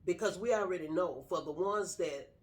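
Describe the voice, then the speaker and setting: A woman's voice: fairly low pitch, moderate pace, darkish tone. A middle-aged woman sharing her personal story casually in an earnest, straightforward way. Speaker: guest telling personal story, wife of the host.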